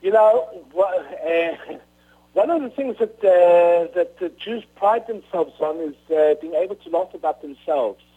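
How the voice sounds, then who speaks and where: A middle-aged man with a South African accent answering interview questions in a halting way, full of uhs and restarts. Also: high-pitched, lively intonation, husky. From Rhodesia, now Zimbabwe. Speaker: author guest. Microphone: phone. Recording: tinny phone line.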